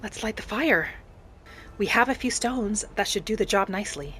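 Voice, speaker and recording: A woman playing a gentle, earnest female wood-elf adventurer, musing aloud as she narrates a roleplay game in character. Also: medium pitch, animated, normal speed, noisy background, lightly acted. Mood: eager, pleased.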